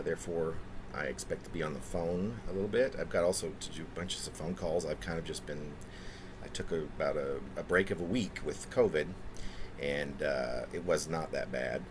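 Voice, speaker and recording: A man in his fifties, a poet talking casually and reading his own work in a halting, pausing way. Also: rumbling low. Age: 50s. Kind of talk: casual spoken preamble and poetry reading. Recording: noticeable background room noise.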